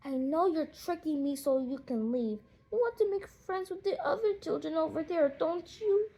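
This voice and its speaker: A woman's voice: sits high, lively intonation, normal speed, mellow, voice pushed up, low-quality recording. A woman voicing a sensitive, curious seven-year-old girl in a children's storybook, with a childlike, emotional delivery. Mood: sad, hurt.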